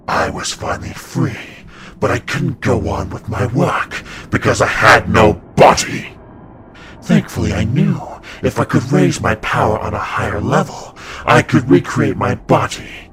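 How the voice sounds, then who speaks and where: A man's voice: lowish voice, heavy background noise. A man playing an evil ancient winged serpent spirit in a cartoon comic dub, gloating and mocking with theatrical delivery.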